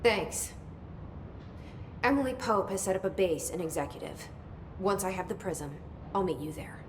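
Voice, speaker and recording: A woman's voice: medium pitch, lively intonation, normal speed, close to an everyday voice. Determined woman in an echoey room acted. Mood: businesslike. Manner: direct, guarded, understated. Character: determined, plain-spoken young female director, reluctant leader. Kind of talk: video game dialogue acting. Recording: echoey room, faint background noise.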